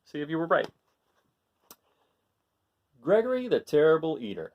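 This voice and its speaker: A man reading a picture book aloud to children in an animated way, asking his listeners questions. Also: fairly high pitch, moderate pace, phone-quality sound. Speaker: read-aloud storyteller.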